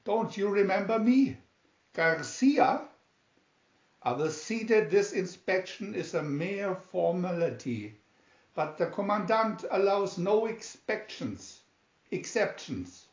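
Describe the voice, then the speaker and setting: A man voicing a rotund, eager, officious army sergeant in a storybook, loud and exclaiming. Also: high voice, expressive, takes it slowly, pitched above the performer's own voice, heavily acted. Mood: excited, friendly. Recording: roomy, dull home recording.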